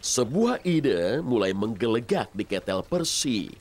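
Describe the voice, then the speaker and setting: A man's voice: mid-range voice, sing-song, slow, darkish tone. A man narrating a scripted children's story expressively. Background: music.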